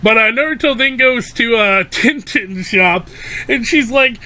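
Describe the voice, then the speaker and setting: A man casually reviewing an anime episode, rambling with fillers while his voice is going hoarse. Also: very high-pitched, measured pace, sharp tone. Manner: loose and rambling, many fillers and restarts. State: losing his voice.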